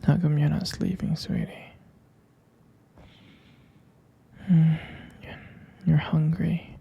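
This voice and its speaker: A performer playing a tender, doting partner at bedtime in an intimate ASMR roleplay, in a breathy, gravelly whisper with a halting, affectionate delivery. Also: mid-range voice, level tone, muffled recording, full character voice. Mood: caring, soothing. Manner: slow, hushed, halting, affectionate.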